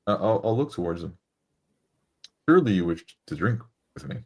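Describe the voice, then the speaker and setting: A man's voice: on the low side, expressive, a little fast, breathy edge. A man playing a tabletop roleplaying game, casually speaking in character and describing his actions.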